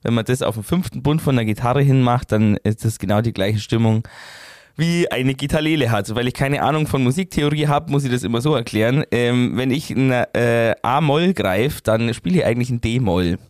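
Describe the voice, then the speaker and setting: A young man with a Bavarian accent, the songwriter and guitarist of a band, answering interview questions in a relaxed, rambling way full of fillers. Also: medium pitch, normal speed, dry recording.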